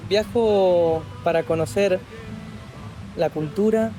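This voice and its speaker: A man in his twenties, a traveling musician, speaking about his travels in a warm, heartfelt way. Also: high voice, a little slow. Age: 20s. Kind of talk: casual interview answers.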